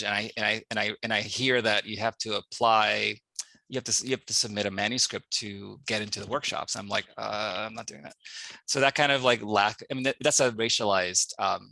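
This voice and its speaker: A man's voice: fairly low pitch, gravelly, pushed and bright, dry recording, low-quality recording. A man in his forties from the United States, a poet and professor, talking candidly and thoughtfully on a panel. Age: 40s. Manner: candid, musing, self-deprecating. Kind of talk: reflective panel conversation.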